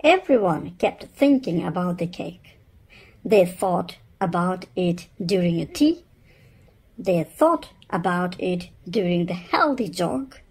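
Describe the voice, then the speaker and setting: Slow, husky woman reading a storybook. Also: lowish voice, lively intonation, a little mellow. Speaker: storybook reader. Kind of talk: children's read-aloud narration.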